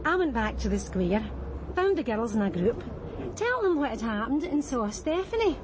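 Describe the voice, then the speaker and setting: An actress playing a blunt, world-weary Scottish street prostitute in a radio crime drama. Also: female voice, on the high side, big pitch swings, moderate pace. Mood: weary, bitter. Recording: noisy street and car background.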